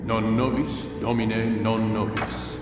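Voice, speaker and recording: Stern cryptic old master, video game drama, measured, distant, heavy reverb, music behind. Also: male voice, fairly low pitch, flat delivery. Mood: grave, knowing. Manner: measured, commanding, condescending.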